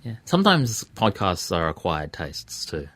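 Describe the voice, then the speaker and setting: A man's voice: deep voice, wildly swooping pitch, a little slow, fairly bright tone, no echo. An Australian man speaking casually as an interview guest, earnest and thinking aloud as he gives his views. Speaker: interview guest, community radio presenter and podcaster.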